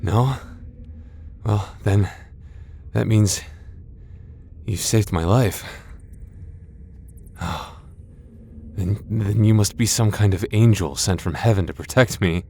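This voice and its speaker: A man playing a timid, soft-hearted young knight in an ASMR fantasy roleplay, stammering nervously and putting himself down. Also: fairly low pitch, slow, gravelly, pauses often, slight background noise. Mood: dazed, awed. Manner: stammering, self-deprecating, nervous.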